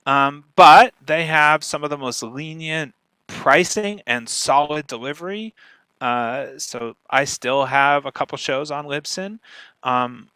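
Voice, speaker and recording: A man with a slightly high, bright voice talks as a guest expert in a close, dry recording. He explains casually and emphatically, often checking that the listener is following. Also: no room sound, low-quality recording. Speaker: guest speaker, podcast marketer.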